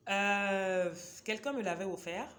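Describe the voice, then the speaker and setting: Woman, mid-pitched voice, casual book vlogger, chatty and digressive, speaks straight to her viewers. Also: slow, a bit bright. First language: a Cameroonian local language. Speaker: vlogger presenting books. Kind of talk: casual book-recommendation vlog.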